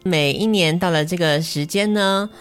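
A woman's voice: fairly low pitch, normal speed, clean tone. A woman with a Taiwanese Mandarin accent co-hosting a podcast, moving between casual banter and storytelling. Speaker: podcast co-host.

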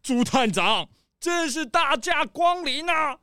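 An actor playing a pompous, boastful rich crocodile gentleman in a children's audio drama, with theatrical, drawn-out exclamations. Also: high-pitched, lively intonation, no room sound, clean studio sound, heavily acted. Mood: effusive, showy. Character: pompous, showy rich crocodile gentleman throwing his birthday banquet.

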